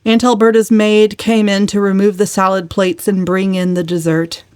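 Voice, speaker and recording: A woman's voice: mid-range voice, flat delivery, normal speed, darkish tone, clear, resonant tone, dry recording, studio quality. A woman narrating a novel as a dramatic, scripted literary reading. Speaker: audiobook narrator.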